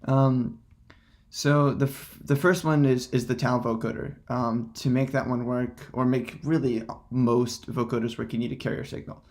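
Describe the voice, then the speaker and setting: Man, gravelly creak, casual tutorial presenter, hesitant phrasing, unscripted. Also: medium pitch, fairly even intonation, moderate pace, darkish tone.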